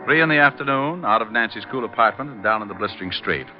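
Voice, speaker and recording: Muffled vintage radio recording, music behind, male actor as breezy private detective, low pitch, wry teasing delivery. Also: animated, fast, natural voice. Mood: dry narration. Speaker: lead actor and narrator. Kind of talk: 1940s radio detective acting and narration.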